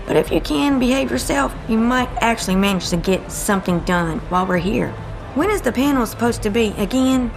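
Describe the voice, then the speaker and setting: A woman's voice: fairly high pitch, lively intonation, fairly quick, creaky, over music, poor audio. A woman playing a short-tempered, sardonic agent in a comedic audio drama, with a dry, scolding manner. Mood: exasperated. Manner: dry, sarcastic, folksy scolding.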